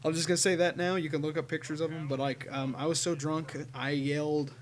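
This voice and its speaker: A man's voice: a little high, little pitch movement, a little fast. A man giving casual, rambling commentary, swearing and repeating himself. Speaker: host commenting on own show.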